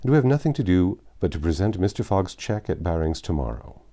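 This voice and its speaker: A man voicing a matter-of-fact gentleman bank director in a storybook, speaking formally and precisely. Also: low voice, sing-song, fast talker.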